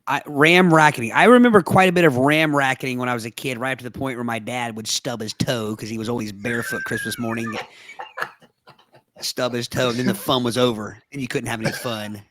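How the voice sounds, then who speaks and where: A man's voice: medium pitch, fairly quick, fairly bright tone, slight background noise. A man co-hosting a casual podcast, chatty and anecdotal, with a lingering cough. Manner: chatty, storytelling, laughs easily. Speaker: podcast co-host.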